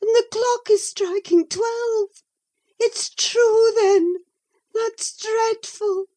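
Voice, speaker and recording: A woman's voice: high-pitched, slow pace, mellow, pitched above the performer's own voice. A woman reading an audiobook, voicing a feverish, delirious young woman who swings between dreaminess and panic. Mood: terrified. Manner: dreamy then panicked, pleading.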